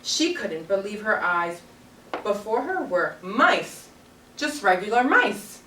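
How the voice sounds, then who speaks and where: A woman's voice: mid-range voice, very animated, slow pace, bright, forceful tone. Echoey, far-off woman teacher reading aloud. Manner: prompting with questions, echoing pupils' answers. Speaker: primary school teacher. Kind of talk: read-aloud lesson with questions to young children. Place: carpeted classroom full of young children. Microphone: distant camera microphone. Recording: echoey, distant, noisy classroom pickup.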